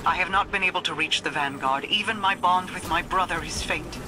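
A woman's voice: fairly low pitch, a little fast, noisy background, natural voice. A woman voicing a commanding mystic queen in a video game, speaking in a formal, solemn and dramatic way. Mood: grave. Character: regal, grave mystic queen, strained and commanding. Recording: loud game music behind.

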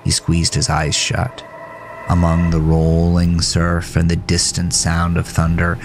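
Deep-voiced man narrating horror. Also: completely flat delivery, measured pace, slight background noise. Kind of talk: scripted horror story narration.

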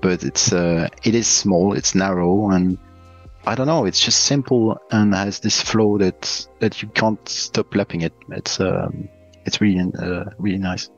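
Low-voiced Belgian-born man on a headset. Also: a little slow, highs cut off, soft background music. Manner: hesitant, many fillers and restarts. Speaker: head of a game studio. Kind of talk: casual interview over video call. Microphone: headset over video call.

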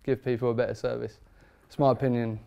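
A man, talking shop as a barber seated in his barber shop. His voice is smooth and resonant, and his speech is emphatic and informal. It rings with eager conviction. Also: medium pitch, little pitch movement, fast talker, warm tone. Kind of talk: product review chat.

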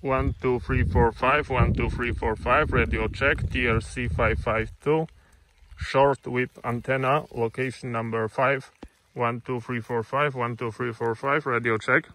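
A man's voice: mid-range voice, steady tone, a little slow, fairly bright tone, slight background noise, rough recording. A man making radio test calls outdoors, in a clipped, repetitive counting delivery. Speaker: radio hobbyist testing equipment. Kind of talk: radio check test call. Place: outdoors in grass and woods.

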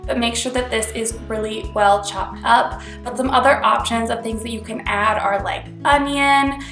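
A woman's voice: fairly high pitch, fairly even intonation, moderate pace, a bit bright, echoey room, soft background music. A young woman with a cold hosting her cooking channel, chatty and upbeat as she explains each step from her home kitchen. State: congested from a cold. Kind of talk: casual cooking tutorial voice-over.

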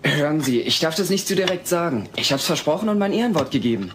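A man's voice: a little high, very animated, fast, fairly bright tone, slight background noise. An actor playing an evasive teenage boy from next door, hesitant and casual, in a sitcom audio play. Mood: cagey.

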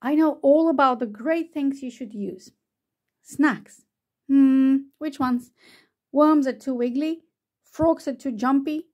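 A woman voicing an excitable, chatty know-it-all owl in a children's storybook read-aloud. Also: high voice, slow, dull audio. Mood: excited, boastful. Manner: excitable, gushing, boastful. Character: eager, chatty know-it-all owl, bossy but helpful.

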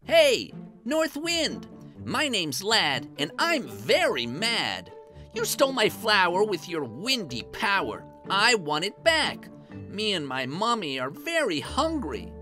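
A woman's voice: mid-range voice, huge pitch swings, slow, bright, forceful tone, soft background music, voice pushed up, heavily acted. A woman voicing a hot-headed, naive young boy in a children's folktale, exclaiming in an eager, whiny way. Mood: indignant.

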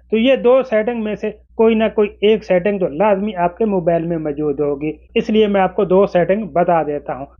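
A man's voice: high voice, phone-quality sound. A man in his forties explaining phone settings step by step to camera in an instructional manner. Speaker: tech tutorial presenter. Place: small indoor room with desk.

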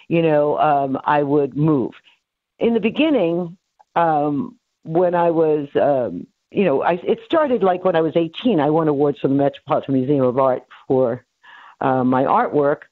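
A woman's voice: low voice, no echo. A woman in her seventies from New York City, rambling through her life story as an interview guest, full of fillers and restarts. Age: 70s. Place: home office. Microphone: headset on a video call. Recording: tinny phone-like dry sound.

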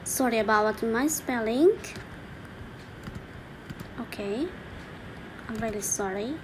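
A woman teaching an English lesson slowly, repeating each word and saying "okay" often, with keyboard typing faintly behind her. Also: on the high side, noisy background. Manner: slow, repetitive, saying each word twice with frequent "okay". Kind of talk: slow screen-recorded language lesson.

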